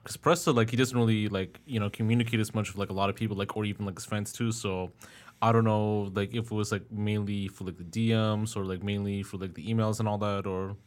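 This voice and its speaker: Low, flat-voiced rambling young host. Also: male voice, fast. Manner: rambling, filler-heavy, laid-back. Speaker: podcast host. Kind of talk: casual interview talk.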